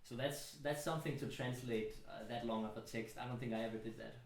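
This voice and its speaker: A man's voice: medium pitch, steady tone, a little fast, reverberant, a little hiss or hum. A man hosting a casual, thoughtful book club conversation in a home studio, talking reflectively with many fillers. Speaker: host.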